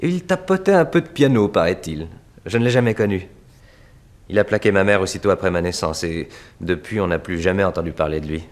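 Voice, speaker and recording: A self-satisfied painter in a 1960s radio drama. He speaks in a fairly low voice, with a touchy, dismissive and guarded manner. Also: lively intonation, a little fast. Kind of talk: early 1960s French radio drama acting.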